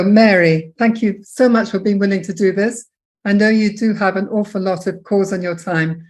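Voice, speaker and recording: A woman's voice: medium pitch, darkish tone. Polite woman hosting an interview. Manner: polite, affirming. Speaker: interviewer, host.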